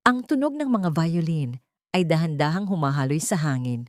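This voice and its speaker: A woman's voice: low-pitched, animated, normal speed, darkish tone, no room sound, clean studio sound. A woman reading a dramatic scripted story as its narrator.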